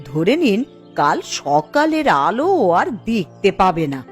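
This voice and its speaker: A woman's voice: medium pitch, big pitch swings, takes it slowly, faint music behind. A woman voicing a discontented, ruthless village wife in a cartoon, dramatic and scheming. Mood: cold menace. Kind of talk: Bengali fairy-tale cartoon voice acting.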